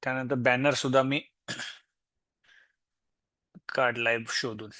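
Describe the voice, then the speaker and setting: A man with an Indian Marathi accent presents an informal screen tutorial. His voice sits at a medium pitch, and he explains each step, dropping in English tech terms. The recording is close and dry, with hardly any room sound. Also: steady tone, slow, bright, forceful tone, no room sound. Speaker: software demo presenter.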